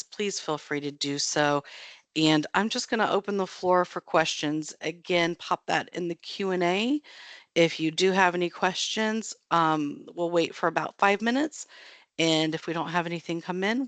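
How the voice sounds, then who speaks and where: A woman's voice: fairly low pitch, fairly bright tone, no room sound. American woman moderating a webinar, dry close sound. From United States. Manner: friendly, chatty, with frequent ums and restarts. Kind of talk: casual announcements to an online audience.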